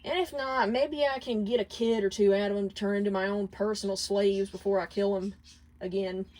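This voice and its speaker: A woman's voice: medium pitch, steady tone, fairly quick, a little mellow, close to an everyday voice, rough recording. A woman voicing a brash, manipulative ex-wife in a dramatic fan fiction reading. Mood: sinister. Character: brash, manipulative scheming ex-wife, defensive and pleading.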